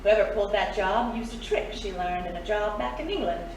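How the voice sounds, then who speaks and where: A woman's voice: medium pitch, animated, fairly quick, lightly acted. A woman playing a sly, confident thief in an audio drama, with a coy, knowing manner. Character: sly, confident female thief, fond of old partner. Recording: very echoey, distant mic, noisy.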